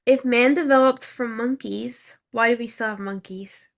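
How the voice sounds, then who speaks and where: A woman's voice: on the high side, moderate pace, gravelly. A woman in her twenties, from Thailand, co-hosting a podcast with dry, teasing remarks. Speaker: podcast co-host. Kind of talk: casual chat.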